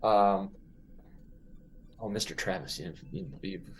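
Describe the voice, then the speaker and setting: A man playing a tabletop role-playing game in casual improvised speech, haltingly mulling things over aloud. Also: deep voice, darkish tone, a little hiss or hum.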